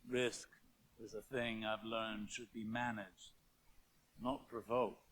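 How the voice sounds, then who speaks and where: A man's voice: on the low side, level tone, slow, fairly bright tone, breathy edge, exaggerated voice. A man playing a learned, cautious scholar in a video game, with a grave, theatrical delivery and weighty emphasis. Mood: stern caution. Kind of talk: dramatic game cutscene dialogue.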